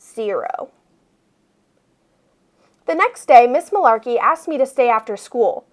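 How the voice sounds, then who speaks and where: A woman reading a children's picture book aloud expressively, with deliberate phrasing for young listeners. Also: a little high, lively intonation. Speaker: read-aloud storyteller.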